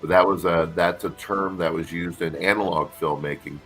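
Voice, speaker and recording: A middle-aged man, an actor guest with a deep voice, talking casually in an interview with lots of fillers and restarts. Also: normal speed, soft background music. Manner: relaxed, rambling, many fillers and restarts.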